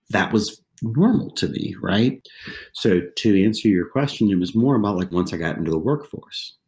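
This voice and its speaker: A man's voice: low-pitched, a little mellow. A man in his forties from southwestern Pennsylvania, a podcast guest telling stories in a casual, conversational way, often repeating phrases and tagging lines with "right?". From southwestern Pennsylvania, USA. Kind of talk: casual interview storytelling.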